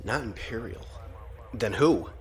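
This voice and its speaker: A man's voice: mid-range voice, lively intonation, slow, darkish tone. A man playing an anxious, questioning junior lieutenant in a science fiction audio drama. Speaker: junior fleet officer.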